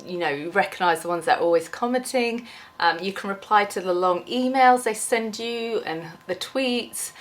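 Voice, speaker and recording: A woman's voice: mid-range voice, moderate pace, few pauses. A young woman with a cold, chatting in an unscripted, rambling vlog, full of ums and restarts. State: has a cold, losing her voice. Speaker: small YouTuber.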